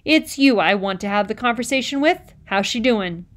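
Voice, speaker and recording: A bigoted middle-aged island policeman, put on by a woman reading a storybook aloud, lecturing a boy in rough, ungrammatical speech. He pushes his voice loud and hard. He talks down to the boy. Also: a little high, expressive, moderate pace. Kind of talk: audiobook character reading.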